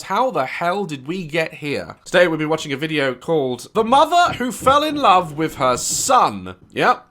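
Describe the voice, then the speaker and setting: A man with a hoarse, sore throat hosting a reaction video, joking and swearing as he comments. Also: on the high side, fairly bright tone. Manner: jokey, irreverent, swearing.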